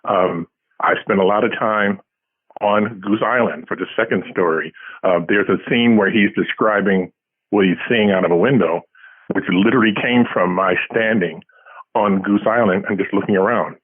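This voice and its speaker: A man's voice: fairly low pitch, moderate pace, husky. An older man answering interview questions casually as a guest author, over a tinny phone-like line.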